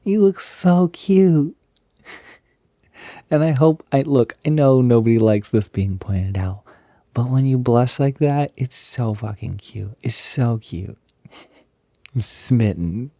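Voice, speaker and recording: Man acting as smitten young male roommate, very dark mellow tone, husky and soft, unhurried, playful, reassuring, intimate roleplay audio. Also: mid-range voice, no echo, studio quality. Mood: smitten, delighted. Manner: gentle, hesitant, giggly, softly doting. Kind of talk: intimate roleplay audio, casual improvised-sounding chat.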